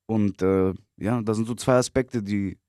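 A man in his twenties from Berlin, a rapper guest giving relaxed, short answers with easy laughs. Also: fairly low pitch, measured pace, smooth, no echo, clean studio sound. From Berlin, Germany. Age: 20s. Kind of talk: casual interview chat.

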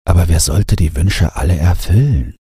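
A man reading a bedtime story as an audiobook narrator, in a gentle, measured manner. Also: sits low, breathy edge, dry recording, clean studio sound.

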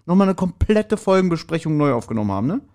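A man's voice: on the high side, very animated, fast, a little mellow. A man co-hosting a podcast in speech, chatting casually with a jokey, rambling manner. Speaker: podcast co-host.

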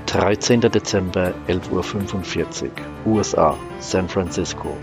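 A male narrator reads scripted scene descriptions for a science-fiction radio play. His voice is very low, with music clearly behind it. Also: normal speed. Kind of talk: scripted audio drama narration.

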